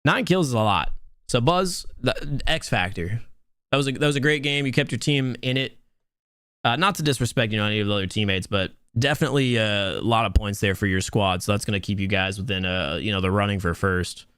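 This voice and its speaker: A young man with a smooth, soothing voice casts a mobile game tournament live and talks straight to his chat. He chats loosely and restarts his words as he goes. The sound is close and dry, with no room around it. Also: fairly low pitch, brisk, dry recording. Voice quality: soothing, relaxed voice. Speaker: tournament host and caster. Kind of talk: live stream esports commentary.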